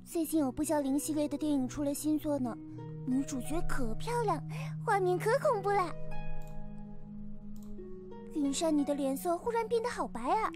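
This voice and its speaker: Woman as a very high-pitched playful teenage girl. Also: moderate pace, pauses often, close to an everyday voice. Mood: teasing, playful. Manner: teasing, playful. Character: cheerful teasing teenage girl, sweet and playful. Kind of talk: visual novel voice acting. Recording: music playing behind the voice.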